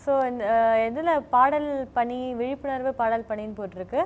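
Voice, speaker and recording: A woman's voice: fairly high pitch, moderate pace, a little hiss or hum. A woman judging auditions, asking short questions and mixing in English. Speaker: singing audition judge. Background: loud music.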